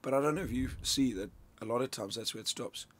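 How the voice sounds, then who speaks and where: A man's voice: mid-range voice, fast talker, fairly bright tone, dry recording. A middle-aged man from Zimbabwe, a sales coach talking through his ideas as a studio guest, loose and conversational with plenty of restarts. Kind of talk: radio interview, explaining ideas. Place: radio studio.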